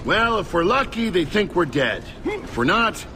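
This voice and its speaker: An actor playing a sarcastic male soldier in a game cutscene, gruff and dry. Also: high-pitched, big pitch swings, moderate pace. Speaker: soldier squad member. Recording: game audio with noisy battle ambience.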